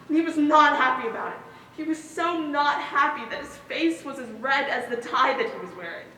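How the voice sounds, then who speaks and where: High, bright-voiced stage daughter played by a woman, echoing. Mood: wry, tense. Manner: projected, dramatic storytelling. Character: traumatised daughter recounting being held hostage with her father. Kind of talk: one-act stage play monologue. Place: indoor stage in a large reverberant hall. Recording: distant echoey hall, some background noise.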